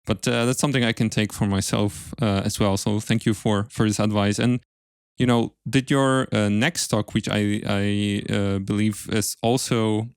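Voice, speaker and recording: A man hosting an interview podcast in a conversational, earnest and thoughtful, halting way. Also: on the low side, level tone, clean tone, no room sound, clean studio sound. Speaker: podcast host.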